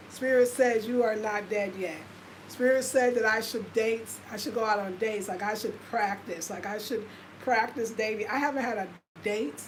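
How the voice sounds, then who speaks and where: A woman's voice: on the high side, slight background noise, poor audio. A woman in her fifties with an American accent, chatting casually and confidingly to viewers on her livestream, full of fillers. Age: 50s. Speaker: lifestyle vlogger.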